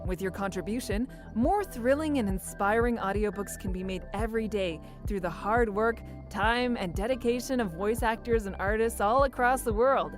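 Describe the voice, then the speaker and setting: Casting: female voice actor for audiobook promo, higher pitch, scripted, light music behind. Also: normal speed, few pauses.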